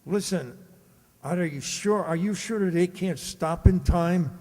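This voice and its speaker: Man as a high-voiced jumpy gangster henchman. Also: unhurried, a little mellow. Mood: uneasy. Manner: anxious, urgent, blunt tough-guy talk. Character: nervous, loyal male gangster henchman, jumpy and superstitious. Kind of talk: old-time radio drama acting. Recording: hollow reverb with background noise.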